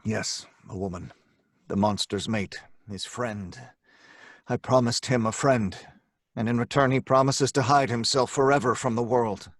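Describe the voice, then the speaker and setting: An actor playing a tormented, guilt-ridden scientist, earnest and dramatic, in old time radio horror. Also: male voice, medium pitch, fairly even intonation, measured pace, no echo, studio quality. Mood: grim resolve. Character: tormented, guilt-ridden scientist telling his tragic story.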